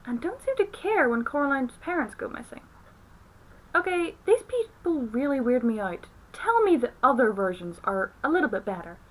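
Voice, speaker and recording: A woman delivers scripted film review commentary. Her voice sits fairly high as she talks through her points as a reviewer. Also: sing-song, normal speed, low-quality recording. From outside the United States.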